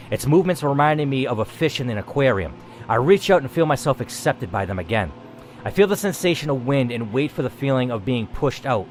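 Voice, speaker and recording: A man reading a first-person game story aloud as the player, in a dramatic narrating style. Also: mid-range voice.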